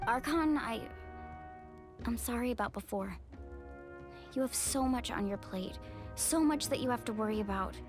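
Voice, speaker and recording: High-voiced, earnest young woman, played by an actress. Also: normal speed, long pauses, over music, close to an everyday voice. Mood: apologetic. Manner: earnest, deferential. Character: earnest, modest, apologetic young woman ally. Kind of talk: English game voice acting.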